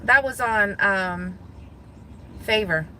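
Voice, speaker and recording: A woman from Guam chatting casually to viewers from her car, thinking out loud and laughing easily. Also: mid-range voice, slow, slight background noise. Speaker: gig delivery driver vlogger. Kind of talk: casual talking to viewers while driving. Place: inside a parked car.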